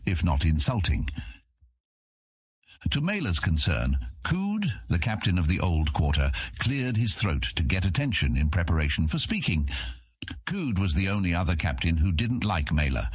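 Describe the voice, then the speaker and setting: A man reading scripted fantasy narration as an audiobook narrator, with a husky voice. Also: deep voice, no echo.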